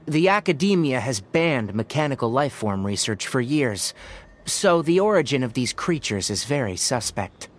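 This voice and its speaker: A man voicing a calm, principled young forest ranger and scholar in a video game, articulate and thoughtful. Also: mid-range voice, normal speed. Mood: serious, explaining. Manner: articulate, thoughtful, gently lecturing. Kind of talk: video game voice acting, measured dialogue. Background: music.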